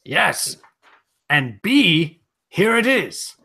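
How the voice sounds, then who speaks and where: A man playing a flustered, dim-witted lord in comic old-time radio style, raspy, fussy and bumbling. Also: high voice, sing-song, drawn-out words, sharp tone, full character voice. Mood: anxious, fussy. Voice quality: raspy, creaky, strained. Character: flustered, dim-witted, posh English lord, Holmes's client.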